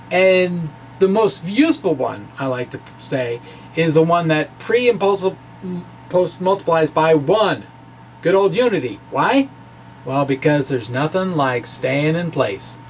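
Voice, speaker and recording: Male science blogger, slightly high voice, unhurried and hesitant, wry asides, light background noise. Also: poor audio. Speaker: physics blogger reading own post. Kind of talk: informal reading of technical blog with asides.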